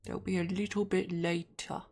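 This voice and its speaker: A low, gravelly young woman chats casually with her stream, her voice a little snuffly. She rambles and restarts her sentences as she goes, sometimes dropping to a soft breathy hush. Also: flat delivery, normal speed.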